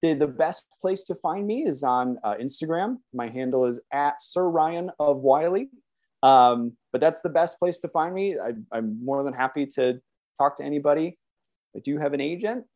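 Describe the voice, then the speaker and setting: A man's voice: fairly high pitch, normal speed. A man who works as a voice actor, chatting casually and enthusiastically as an interview guest. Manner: enthusiastic, animated self-interruptions.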